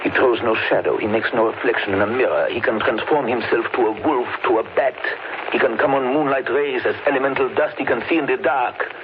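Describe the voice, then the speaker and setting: A man playing an elderly male professor leading vampire hunters, speaking gravely, formally and urgently in an old radio drama. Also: medium pitch, natural voice. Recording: muffled 1930s radio broadcast, hissy.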